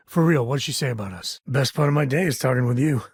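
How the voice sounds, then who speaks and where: A laid back frontier ranger dad in a space game, really husky, kinda wry and folksy, super dry studio sound. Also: male voice, mid-range voice, fast, no room sound. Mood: easygoing, wry. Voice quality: husky, raspy. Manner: folksy, wry, plain-spoken. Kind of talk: video game character dialogue. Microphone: close, dry studio mic.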